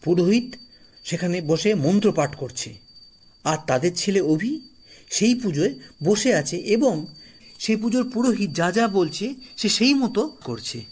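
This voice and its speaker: A man with a husky voice narrating a scripted horror story as the storyteller. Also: high voice.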